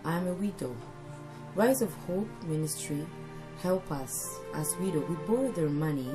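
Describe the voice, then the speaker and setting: A woman who is a widow and mother gives an earnest documentary testimony in short, halting phrases. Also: lowish voice, lively intonation, rough recording. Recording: music playing under the voice. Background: background music.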